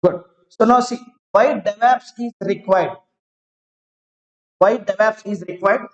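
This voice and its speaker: A man teaching an online class, talking slowly, repeating each phrase and checking that students follow. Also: high voice. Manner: slow, repeats each phrase several times; asks students to confirm they follow. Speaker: IT trainer. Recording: roomy, dull lo-fi online class audio.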